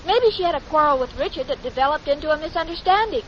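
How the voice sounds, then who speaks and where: A woman's voice: high-pitched, lively intonation, fast. An actress playing a sweet, dim-witted young woman in a 1940s radio comedy, naive, earnest and literal-minded. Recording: muffled, noisy 1940s radio recording.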